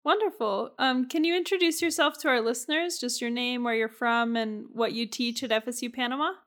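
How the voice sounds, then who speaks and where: A woman's voice: on the high side, brisk, fairly bright tone. A young woman with an American accent hosts a podcast, introducing topics and putting questions to a guest. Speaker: podcast co-host. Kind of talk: podcast hosting.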